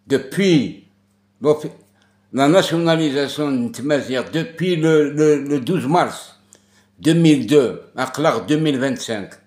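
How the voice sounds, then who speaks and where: Slow, emphatic man. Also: mid-range voice, darkish tone. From Kabylie, Algeria. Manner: emphatic, insistent, repeats words for stress. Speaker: political commentator. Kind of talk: impassioned political monologue. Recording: echoey room.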